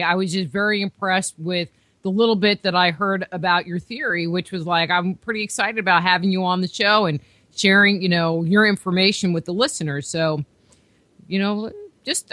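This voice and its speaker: A woman hosting a radio talk show, asking questions in a chatty, conversational manner. Her voice sits at a middle pitch and sounds close and dry, with no room echo. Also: normal speed, fairly bright tone. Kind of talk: casual interview chat.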